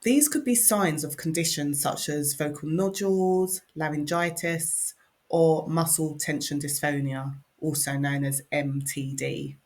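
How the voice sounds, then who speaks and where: A woman, a speech and language therapist, giving a measured, scripted presentation that explains as it goes. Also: sits low, a little mellow.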